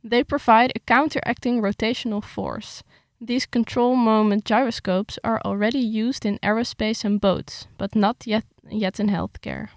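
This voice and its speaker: Young woman with a gravelly edge. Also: on the high side, normal speed, hardly any pauses, dry recording. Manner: hesitant with fillers when chatting, steady when reading. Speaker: writer reading her article. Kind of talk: casual chat, then reading an article aloud.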